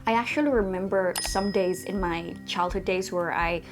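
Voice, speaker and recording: A woman's voice: fairly low pitch, moderate pace. A woman in her late twenties from Indonesia, a native Indonesian speaker, chatting casually and directly to viewers in an educational vlog. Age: late 20s. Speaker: language-learning video host. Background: background music.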